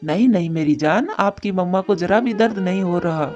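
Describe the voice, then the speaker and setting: A woman plays a protective father bird in a cartoon story. The voice is fairly low, gentle and coaxing, full of care for his child. Music plays clearly behind it. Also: moderate pace, muffled recording, lightly acted. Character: doting, protective father bird of a small daughter. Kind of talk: Hindi cartoon story acting.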